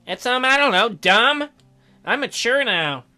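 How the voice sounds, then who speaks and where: A man's voice: very high voice, expressive, a little slow, pushed and bright, soft background music, voice pushed up, exaggerated voice. A man voicing an awkward, gloomy young man in a visual novel, hesitant and defensive. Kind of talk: acted visual novel dialogue.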